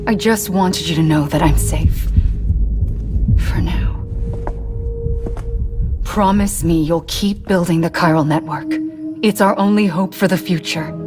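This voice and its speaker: A woman voicing an earnest, gentle captive who clings to hope in a video game cinematic. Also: fairly low pitch, a little slow, pauses often, over music, lightly acted. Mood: pleading, hopeful.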